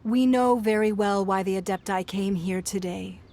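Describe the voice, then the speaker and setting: An actress playing a poised, shrewd businesswoman who leads a fantasy city, speaking in a measured, formal, diplomatic way in a game. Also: mid-range voice, warm tone, natural voice. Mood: composed, diplomatic. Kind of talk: scripted fantasy game dialogue. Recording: music under the voice.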